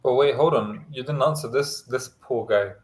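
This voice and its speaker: Male host whose first language is Pashto, mid-pitched, relaxed and filler-heavy, casual livestream chat. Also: normal speed. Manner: easygoing, many hesitant fillers.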